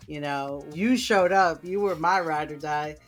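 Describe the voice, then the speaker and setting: A woman's voice: low voice, a little slow, over music. A woman from Puerto Rico co-hosting a podcast in casual chat, conversational and heartfelt, often saying "you know.". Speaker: podcast co-host.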